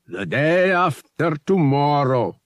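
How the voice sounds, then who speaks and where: Picture an imperious alien high priest from an audiobook space adventure, acted out by a man. He speaks slowly and formally, stretching his phrases with commanding deliberation. His pitch swells and swoops well above a plain narrating voice. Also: sing-song, dry recording, clean studio sound. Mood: brisk authority. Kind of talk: audiobook character voice.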